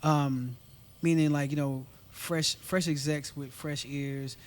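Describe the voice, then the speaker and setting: A man with a raspy voice, a guest singer talking casually in an interview, often trailing off with fillers. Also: fairly high pitch, fairly even intonation, slow pace. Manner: laid-back, trails off with fillers.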